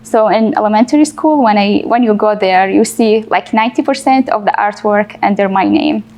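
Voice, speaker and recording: A woman's voice: mid-range voice, normal speed, a little mellow. A young woman from Iraq, a painter, answering interview questions in an earnest, plain way.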